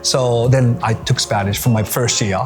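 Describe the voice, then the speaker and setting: A Japanese man speaks English with an accent that mixes American, British and Australian sounds. He talks in a chatty interview manner at a mid pitch, with many fillers and laughs. Clear music plays behind him. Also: steady tone, normal speed. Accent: mix of American, British and Australian. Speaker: guest. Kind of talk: casual interview chat.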